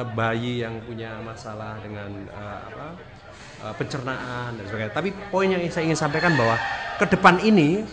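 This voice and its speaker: A man's voice: medium pitch, fairly bright tone. A man explaining politics in an earnest, emphatic way, posing rhetorical questions as a commentator.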